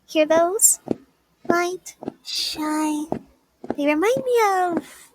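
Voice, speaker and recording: A woman playing a dreamy, whimsical teenage schoolgirl with magic powers in a comic fandub, wistful and gentle. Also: very high-pitched, expressive, drawn-out words, heavily acted, rough recording. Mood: dreamy, playful.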